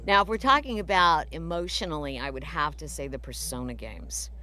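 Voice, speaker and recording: A woman's voice: sits low, brisk. A woman voice actress answering fan questions in a casual live Q&A, chatty and full of fillers. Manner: chatty, warm storytelling with fillers. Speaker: voice actress guest.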